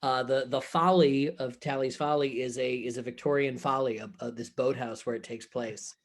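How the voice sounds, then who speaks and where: A man's voice: mid-range voice, steady tone, moderate pace. A man speaking casually in an interview as a theater director, thoughtfully searching for his words with frequent halts. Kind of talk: casual interview chat about a production.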